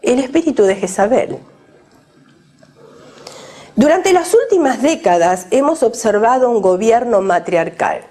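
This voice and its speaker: A woman's voice: a little high, expressive, measured pace, pauses often, dark tone. A woman from Argentina, preaching a sermon to a women's gathering and questioning the audience directly.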